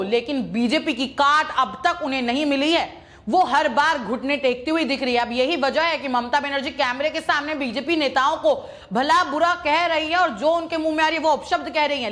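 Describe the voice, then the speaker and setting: A woman's voice: high-pitched, a bit bright. A woman presenting opinionated news commentary in an emphatic, accusatory, repetitive way.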